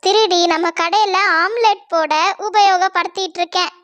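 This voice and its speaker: A woman doing a greedy male cartoon bird, gruff, blustering, dismissive, lower than her own voice. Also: fairly even intonation, moderate pace, fairly bright tone. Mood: boastful.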